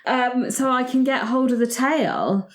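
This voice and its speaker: A woman's voice: high-pitched, measured pace, poor audio. A woman playing a tabletop roleplaying game, casually thinking out her moves and describing them. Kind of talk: casual improvised game play.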